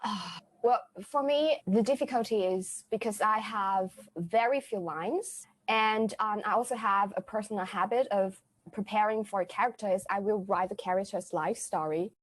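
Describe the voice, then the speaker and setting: A woman's voice: mid-range voice, normal speed, rough recording. A woman in casual podcast chat, giggling and searching for words as she tells a story. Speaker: theatre group member.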